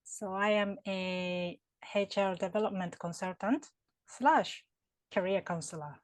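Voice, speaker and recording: A woman's voice: medium pitch, a little slow, no room sound. A woman with a Japanese accent, working in HR consulting, answers interview questions thoughtfully, with many fillers and restarts. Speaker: HR consultant and career counselor.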